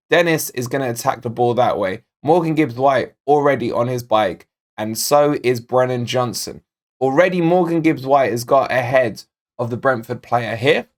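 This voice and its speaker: Slightly croaky football fan host. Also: male voice, mid-range voice. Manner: chatty, direct, addresses viewers as guys. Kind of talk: casual match analysis to camera. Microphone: desk microphone.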